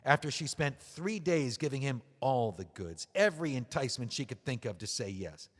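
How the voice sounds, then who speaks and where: An actor playing a betrayed widower in an audio drama, mocking and seething with anger. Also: male voice, mid-range voice, expressive, normal speed, lightly acted. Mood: angry. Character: bitter betrayed widower, man. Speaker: widower writing a memoir. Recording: long hall reverb.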